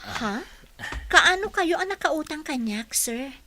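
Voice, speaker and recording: A woman's voice: high-pitched, animated, slow, pushed and bright, slight background noise, full character voice. A woman acting as an earnest young office employee in an Ilocano radio drama, with an Ilocano accent. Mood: questioning.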